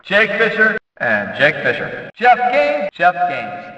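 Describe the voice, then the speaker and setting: A hyped-up male race announcer for a racing video game, high-pitched and swinging wildly up and down. He stretches out every call theatrically, as if over a big track, with a long echoing reverb around him. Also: huge pitch swings, bright, forceful tone, exaggerated voice. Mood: hyped announcing. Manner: drawn-out, theatrical delivery of names. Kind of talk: shouted race-announcer name calls. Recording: echoey, distant, slightly dull PA-like sound.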